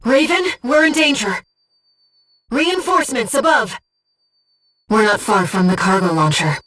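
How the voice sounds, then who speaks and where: A soft, breathy, distant female AI-like companion. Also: fairly high pitch, expressive, unhurried. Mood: alert, urgent. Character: gentle, earnest disembodied female voice guiding a pilot. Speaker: mission support companion. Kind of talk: video game voice acting, tactical callouts and briefings.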